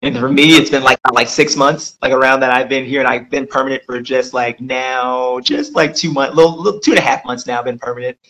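A man's voice: mid-range voice, fairly even intonation, a little fast, hardly any pauses, bright, forceful tone, low-quality recording. A young man from Georgia, answering interview questions about his lab job in an upbeat way, with lots of "um" and "like". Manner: upbeat, lots of fillers like "um" and "like", laughs often. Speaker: lab quality control specialist, guest.

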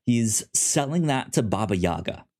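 Low-voiced man recapping a comic casually. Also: animated, normal speed, darkish tone. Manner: retells scenes loosely, with stuttered repeats and "like". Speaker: podcast host. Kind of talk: casual comic book discussion.

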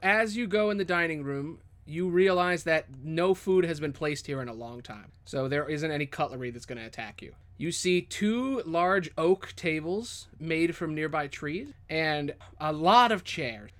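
Bright, high-voiced man narrating a tabletop game. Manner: dramatic scene-setting in second person. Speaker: game master.

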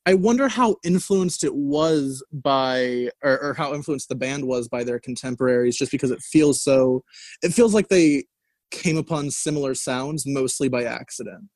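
A man's voice: mid-range voice, normal speed, darkish tone, no echo. Male co-host, casual rambling music talk, dry recording. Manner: rambling, many fillers and restarts, laughs easily. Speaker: album review co-host.

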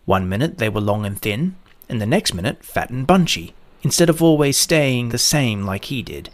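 A man's voice: medium pitch, expressive, moderate pace. A man reading a scripted children's story as its narrator.

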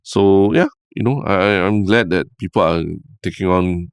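Very deep-voiced Singaporean man, easygoing. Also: moderate pace, smooth, no room sound, clean studio sound. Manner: easygoing, repeats words, ends lines with lah. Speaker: podcast co-host. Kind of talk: casual chat.

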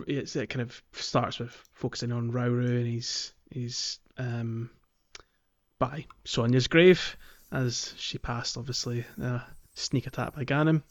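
A man co-hosting a podcast, chatting informally and haltingly as he recaps a video game. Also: medium pitch, level tone. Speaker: podcast co-host. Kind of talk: casual video game playthrough chat and recap. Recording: slightly dull sound, faint music.

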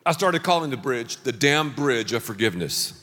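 A middle-aged man preaching a sermon as pastor, telling stories with animated delivery and shouting out acted-out lines. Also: mid-range voice, moderate pace, long reverb tail.

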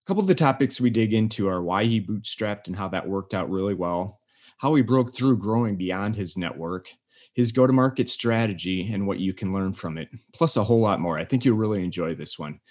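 A male podcast host with a fairly low voice opens the show with an upbeat, scripted intro. He then moves into friendly interview questions. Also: expressive, fairly quick, a little mellow.

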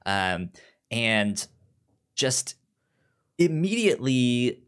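A man hosting a podcast in casual, opinionated recap chat, animated, with a frequent stutter that repeats the first sounds of words. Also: mid-range voice, very slow, clean tone. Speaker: podcast host.

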